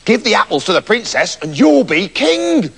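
A man's voice: high voice, sing-song, normal speed, creaky, fairly bright tone, breathy edge, big-room sound, full character voice. A man voicing a scornful, exasperated farmer in a comic children's story, bossy and dismissive.

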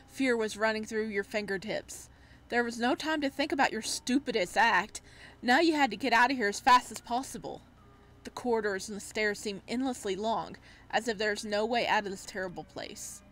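A woman streamer reading a visual novel aloud in an expressive way, breaking off for casual reactions. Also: fairly high pitch, moderate pace, poor audio. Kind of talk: let's-play read-aloud with casual reactions.

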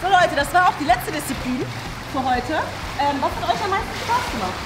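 A young woman hosting a casual vlog from an indoor pool, speaking in an excitable, exclamatory way. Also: high voice, brisk, over a noisy crowd, very noisy. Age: young adult. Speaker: video host. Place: indoor swimming pool, in the water.

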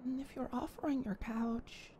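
A young woman in an ASMR roleplay, talking shyly and stammering as a flustered neighbor, sometimes dropping to a soft whisper. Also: high voice, fairly even intonation, moderate pace, a little hiss or hum. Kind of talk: ASMR roleplay, one-sided dialogue.

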